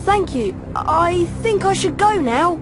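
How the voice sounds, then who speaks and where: A woman's voice: extremely high pitch, animated, takes it slowly, exaggerated voice. A performer, a woman, playing a brave, earnest young schoolboy wizard in a video game. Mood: nervous, earnest. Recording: music under the voice.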